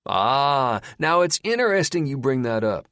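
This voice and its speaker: A man's voice: medium pitch, big pitch swings, measured pace, a bit bright, clean tone, dry recording, clean studio sound, voice pushed up. A man voicing a smirking male backwoods captor who taunts in a folksy, rambling way. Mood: taunting.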